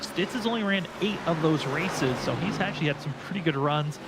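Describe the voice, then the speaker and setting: A man with a slightly high-pitched voice commentates live on a motor race. He works as the play-by-play caller. Loud engine and vehicle noise runs behind his voice. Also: animated, brisk. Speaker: play-by-play sim racing commentator.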